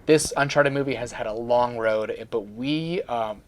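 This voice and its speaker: A man's voice: mid-range voice, moderate pace, a little hiss or hum. A man co-hosting a casual chat about movies and games. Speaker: co-host.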